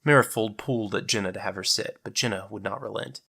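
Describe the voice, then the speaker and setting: Bright, forceful male story narrator. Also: fairly low pitch, fast, creaky, husky. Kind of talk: scripted dramatic story narration.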